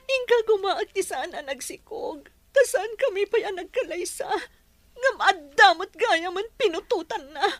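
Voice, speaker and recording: An actress playing a young jilted fiancee in an Ilocano radio drama, with an Ilocano accent. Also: female voice, very high-pitched, animated, normal speed, fairly bright tone, no room sound. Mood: tearful, bitter.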